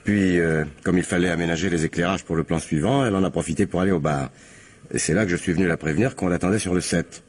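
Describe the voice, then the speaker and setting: An actor playing an aloof, sardonic film assistant under questioning in a French radio crime drama, guarded and dryly disdainful. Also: male voice, low-pitched, quick pace, slight background noise, natural voice. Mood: hesitant, guarded. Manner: hesitant recall, dry disdain.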